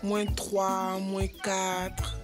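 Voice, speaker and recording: Woman voicing a slow, puzzled, excitable cartoon monkey. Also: mid-range voice. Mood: confused. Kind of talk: dubbed educational cartoon acting. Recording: music behind the voice.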